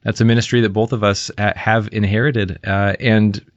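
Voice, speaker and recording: A man hosting an interview, speaking in a measured way with frequent fillers. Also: fairly low pitch, flat delivery, no echo. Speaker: interview host. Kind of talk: conversational interview. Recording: dry, slightly dull lo-fi audio.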